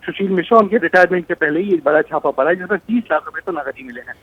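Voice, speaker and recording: A man reporting live news unscripted over a phone line, halting and often repeating words. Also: fairly high pitch, animated, breathy edge, no room sound. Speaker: news correspondent. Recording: thin, narrowband phone line.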